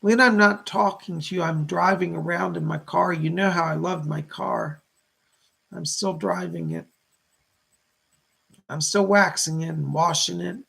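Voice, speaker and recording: A man voicing a weak-voiced, remorseful male spirit in a trance séance, halting, earnest and tender, with a breathy, gravelly voice. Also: fairly high pitch, lively intonation, fairly bright tone, heavily acted. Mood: earnest, wondering.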